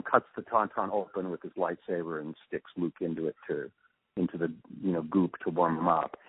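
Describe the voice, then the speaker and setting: A middle-aged or older man gives reflective interview answers in a deep voice with a husky grain. He explains carefully, with halting pauses as he thinks. The sound is narrow and phone-like. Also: no room sound. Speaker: interview guest, radio drama post-production supervisor.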